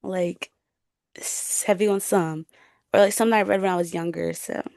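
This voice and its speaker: A woman's voice: on the low side, fairly even intonation, moderate pace, vocal fry, darkish tone, no room sound, studio quality. A young woman co-hosting a podcast in casual, chatty, slangy conversation. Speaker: podcast co-host.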